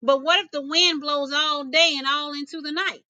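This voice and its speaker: Storybook lion, big, male, gullible and fretful, plaintive high-pitched delivery, acted out by a woman. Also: steady tone, normal speed, bright, forceful tone, no echo. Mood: scared, fretful.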